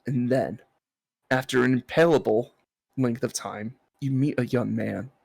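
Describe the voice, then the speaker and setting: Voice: mid-range voice, unhurried, creaky, a little mellow, husky, no room sound. A game streamer co-hosting a visual novel playthrough, moving between dramatic narration and casual chat.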